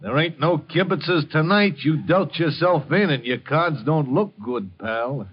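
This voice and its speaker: A man's voice: fairly high pitch, animated, measured pace, husky. An actor playing a cocky, menacing gunman in a 1950s radio crime drama, speaking in sneering tough-guy slang. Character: menacing, cocky male gangster holding a gun. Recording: dull, band-limited 1950s radio recording.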